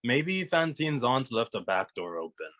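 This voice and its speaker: A man's voice: medium pitch, big pitch swings, fairly bright tone. Man as formal, earnest young detective, mid-range. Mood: cautious. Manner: formal, measured. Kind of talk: animated comedy table read.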